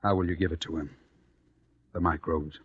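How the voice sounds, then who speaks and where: An actor playing a conflicted lover being drawn into murder, earnest, wavering and protesting, in vintage radio drama. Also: male voice, very deep voice, fairly even intonation, moderate pace, husky, full character voice. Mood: uneasy. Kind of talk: 1940s-50s radio drama acting. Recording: dull, noisy old radio recording.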